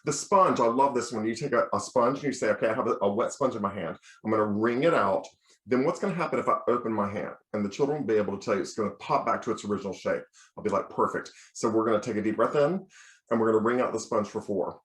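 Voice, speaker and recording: An American man, a bass, teaching as a choir director in an encouraging, step-by-step instructional style with practical examples. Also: fast talker, a bit bright. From United States. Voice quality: bass singing voice. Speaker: children's choir director giving a lecture. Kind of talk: instructional lecture with demonstrations. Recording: roomy, echoing sound.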